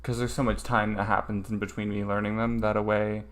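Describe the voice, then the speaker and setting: A man's voice: on the low side, level tone, brisk, fairly bright tone. A man streaming a casual study session, thinking aloud hesitantly with lots of ums.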